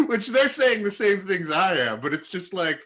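Bright-voiced Canadian host, rambling and laughing. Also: male voice, sits high, sing-song, unhurried. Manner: rambling, self-deprecating, laughs a lot. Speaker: podcast host. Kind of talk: casual podcast chat.

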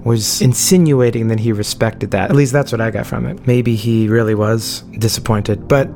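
A man's voice: mid-range voice, normal speed, darkish tone, slight background noise. A man hosting a podcast intro, casual and rambling, correcting himself as he goes, with his voice worn out from talking. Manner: rambling, self-correcting, chatty. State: losing his voice. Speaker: podcast host. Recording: music playing underneath.

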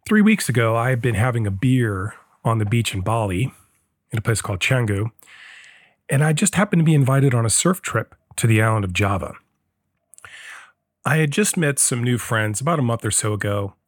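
Low, dry-voiced male podcast host. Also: no room sound. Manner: thoughtful, meandering, with restarts and self-corrections. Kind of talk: casual podcast monologue and personal storytelling.

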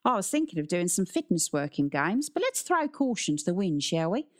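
A woman's voice: fairly low pitch, sing-song, a little fast. A woman voicing a young Australian male sports teacher in an audiobook, breezy, slangy and matey while giving orders. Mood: matey, upbeat. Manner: breezy, slangy, commanding but friendly.

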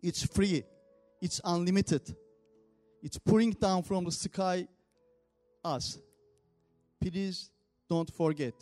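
A man whose first language is Turkish, speaking English with a Turkish accent as he leads communion in church with an earnest, simple, unhurried manner. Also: high voice, a little mellow, faint music behind. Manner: earnest, simple phrasing with pauses. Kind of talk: communion meditation and prayer. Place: church service.

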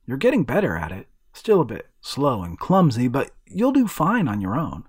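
A determined teenage boy adventurer, voiced by a male narrator. He speaks earnestly and urgently, higher than the narrator's own voice and often in a quick rush. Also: wildly swooping pitch, husky, no echo, studio quality. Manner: earnest, urgent, bossy with friends. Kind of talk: audiobook character voice.

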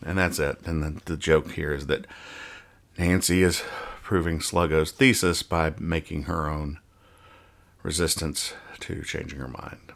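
Male podcast host, deep but punchy and bright, mock-earnest explainer with stumbling, casual delivery. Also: fairly even intonation, unhurried, vocal fry. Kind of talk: casual comic-strip review banter.

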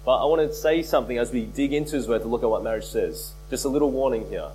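A man preaching a sermon as pastor, hoarse from a cold, earnest and repeating key phrases for emphasis. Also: medium pitch, fast, warm tone, faint music behind, poor audio. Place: church.

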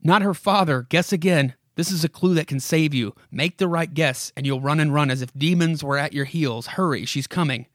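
A man's voice: fairly high pitch, normal speed, hardly any pauses, dry recording, pitched above the performer's own voice, lightly acted. A man puts on the voice of a haunted old house from a horror comic. It speaks straight to its victim with dramatic urgency, insistent and commanding. The sound is dry, with hardly any room around it. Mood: scolding, impatient. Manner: dramatic, commanding second-person address with repeated imperatives. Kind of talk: horror comic read aloud.

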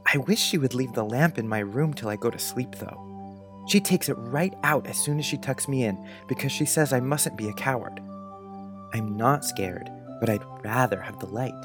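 A man's voice: fairly low pitch, lightly acted. A man playing a sensitive, dreamy little schoolboy in a storybook, speaking in an earnest, wistful, confiding way.

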